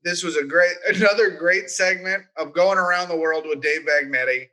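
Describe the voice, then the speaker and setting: A man's voice: sits high, normal speed, bright, forceful tone, poor audio. A man co-hosting a podcast in casual, jokey chat, often restarting his sentences. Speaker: podcast co-host.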